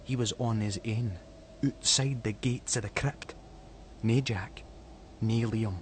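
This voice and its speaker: A man's voice: on the low side, steady tone, slow pace. Scottish male storyteller over background noise. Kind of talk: dramatic scripted story narration.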